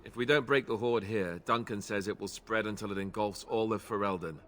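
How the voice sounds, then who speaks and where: A man's voice: fairly low pitch, a little fast, fairly bright tone, lightly acted. A man playing a wry, candid young warrior in a fantasy video game, talking in a conversational way with dry understatement. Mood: earnest, wry.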